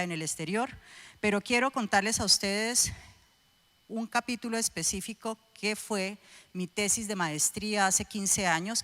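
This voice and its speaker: A middle-aged woman with a Colombian accent giving a personal testimony speech as a guest at a church, speaking in an emphatic, deliberate manner. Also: mid-range voice, fairly bright tone. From Cúcuta, Colombia.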